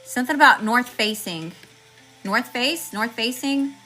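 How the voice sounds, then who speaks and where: A woman reading tarot cards for her viewers in a chatty, slangy way, calling them y'all and listing card meanings one word at a time, with her voice going hoarse. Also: a little high, animated, a little slow, pushed and bright, faint music behind, rough recording. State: losing her voice. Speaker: tarot reader channeling messages. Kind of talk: casual spiritual reading to viewers.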